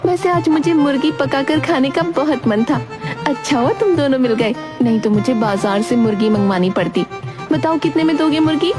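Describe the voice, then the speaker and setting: A woman's voice: high voice, lively intonation, normal speed. A woman voicing a clever, kind young daughter-in-law in a Hindi children's cartoon, speaking persuasively. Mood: sly. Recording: prominent music bed under voice. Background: music.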